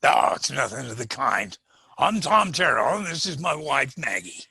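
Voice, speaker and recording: An actor playing a kindly, folksy old storekeeper in a family radio drama, with a raspy voice and a wistful streak. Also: male voice, medium pitch, sing-song, moderate pace, bright, forceful tone, no room sound, studio quality, full character voice. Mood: hearty, welcoming. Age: older. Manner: folksy, hearty.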